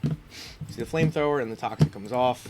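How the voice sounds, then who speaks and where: A man's voice: mid-range voice, normal speed, very noisy. Congested man thinking aloud over a game. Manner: thinks aloud, frequent ums and restarts. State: congested, sniffling. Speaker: gaming YouTuber. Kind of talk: casual gameplay commentary.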